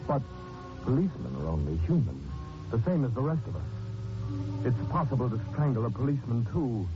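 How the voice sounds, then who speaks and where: A man's voice: medium pitch, sing-song, fairly quick. A man hosting and narrating a 1940s radio mystery in an ominous, theatrical manner. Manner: ominous, theatrical, addressing characters directly. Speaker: host and narrator. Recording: muffled 1940s radio recording with loud music.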